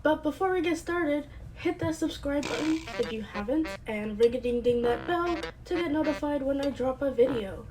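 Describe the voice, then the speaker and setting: A woman's voice: high-pitched, animated, normal speed, hardly any pauses, poor audio. A woman hosting a casual video game review, chatty and playful, sounding congested and a bit nasal from a cold. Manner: chatty and playful, with light asides. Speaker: host of a gaming channel.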